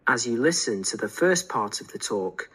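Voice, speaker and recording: A man reading formal scripted test instructions as an exam announcer, in a measured, instructional way. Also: medium pitch, animated, fairly bright tone, breathy edge.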